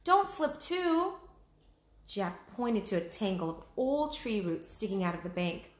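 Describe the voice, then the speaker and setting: A woman's voice: mid-range voice, expressive, moderate pace, a little mellow. A woman hosting a read-aloud, narrating a children's storybook expressively. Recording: echoey room.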